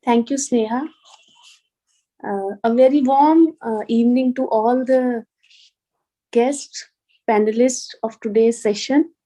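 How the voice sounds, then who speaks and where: A woman's voice: a little high, takes it slowly, long pauses, warm tone, poor audio. A middle-aged woman with an Indian accent giving an informal talk as a guest speaker, reflective and hesitant, often repeating words. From rural Punjab, India. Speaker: guest speaker, police officer and poet. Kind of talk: informal address to an online student session.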